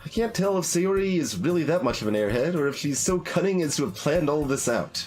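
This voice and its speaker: A man's voice: on the high side, animated, fairly quick, darkish tone. A man livestreaming a game, reading its narration and dialogue aloud with casual commentary over music.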